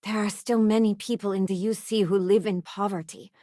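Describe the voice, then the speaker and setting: An actress voicing an earnest, idealistic former deputy turned starship crew member in a video game, sincere and plain-spoken. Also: female voice, mid-range voice, steady tone, brisk, mellow, dry recording, studio quality, lightly acted. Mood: thoughtful, critical. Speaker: video game companion character. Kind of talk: acted video game voice lines.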